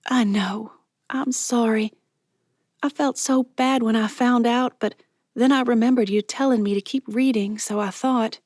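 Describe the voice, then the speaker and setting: A nervous middle-aged woman bookseller, squeaky and flustered, pitched well above the narration, as a woman narrator puts her on. The sound is dry and close to the mic. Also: steady tone, moderate pace, a little mellow, no room sound, close to an everyday voice. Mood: apologetic.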